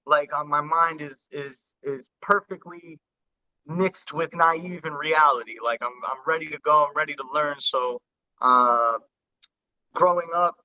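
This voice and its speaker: A man in his thirties from the New York and New Jersey area, a rapper telling his story in a casual interview, earnest and halting with many restarts. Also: a little high, fairly even intonation, pushed and bright. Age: 30s. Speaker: rapper guest. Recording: dull lo-fi sound.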